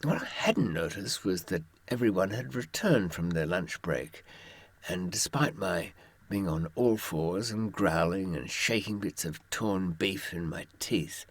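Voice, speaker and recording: Deep, gravelly man telling wry anecdotes. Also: no room sound. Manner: wry, droll, wordy raconteur. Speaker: author giving advice from experience. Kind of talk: anecdotal storytelling to listeners.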